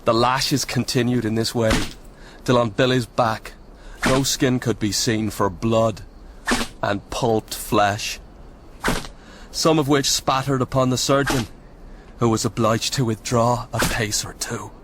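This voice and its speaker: An actor playing a weary, sombre soldier in a radio drama, speaking gravely and with measured, old-fashioned phrasing. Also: male voice, mid-range voice, steady tone, background noise, close to an everyday voice. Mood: grave, haunted. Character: sombre Irish soldier sergeant, weary eyewitness storyteller. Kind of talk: radio drama acting and narration.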